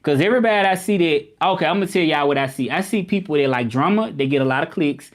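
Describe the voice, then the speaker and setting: A man's voice: fairly high pitch, lively intonation, fast talker. A man hosting a casual live stream, chatting loosely with viewers and often repeating himself. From Memphis, United States. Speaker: livestream host.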